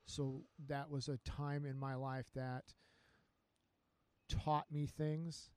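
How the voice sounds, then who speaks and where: A man, telling his story as an interview guest in an earnest, unhurried way. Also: on the high side, little pitch movement, darkish tone, clear, resonant tone, no room sound. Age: 50s. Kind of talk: casual interview chat.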